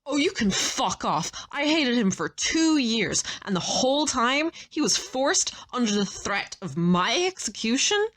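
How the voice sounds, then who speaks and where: A woman's voice: fairly high pitch, sing-song, measured pace, few pauses, a bit bright, full character voice. A woman playing a fierce, vengeful young fighter in an audio drama, speaking in a hostile, cutting, threatening way. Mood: seething. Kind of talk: audio roleplay drama acting.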